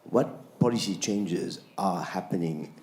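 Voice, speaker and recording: A man's voice: sits low, slow, a little mellow. A man in the audience asking a polite, formal question. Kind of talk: question from the floor.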